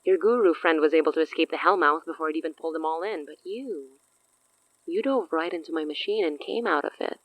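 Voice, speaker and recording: A cocky supernatural villainess from a horror audio drama. Her voice is very low for a woman, close and dry. She talks in a smug, coy way, teasing as she offers her bargains. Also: brisk, dry recording, poor audio. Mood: intrigued, smug.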